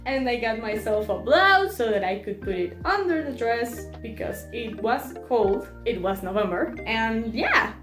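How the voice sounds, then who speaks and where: A young woman from Mexico, chatting casually and giggling in a vlog, often restarting her sentences. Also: on the high side. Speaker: vlogger. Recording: echoey room. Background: faint background music.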